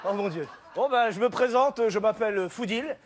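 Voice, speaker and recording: A man from Alsace in France telling a story as a stand-up comedian, animated and theatrical. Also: high voice, normal speed, slight background noise.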